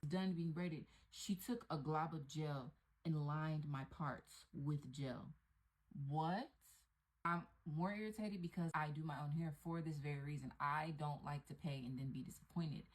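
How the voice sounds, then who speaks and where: Woman, low pitch, casual complaint video, displeased, emphatic, matter-of-fact. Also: a little slow, poor audio. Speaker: customer giving a review.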